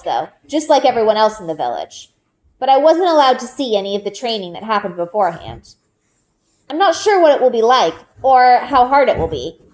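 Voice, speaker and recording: Female read-aloud narrator, teacherly and expressive, fairly high register with swooping intonation. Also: poor audio. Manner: storytelling, dramatic pauses and emphasis. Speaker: teacher reading aloud to students. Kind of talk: expressive children's book narration.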